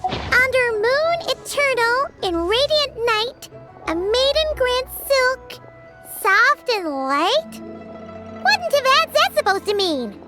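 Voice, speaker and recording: A tiny chattering game fairy with an extremely high, childlike voice. She is excitable, blunt and impatient, swinging up and down in pitch, over loud playful music. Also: slow, full character voice. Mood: baffled. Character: small chatty floating fairy companion, girlish, excitable, easily baffled. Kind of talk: video game voice acting.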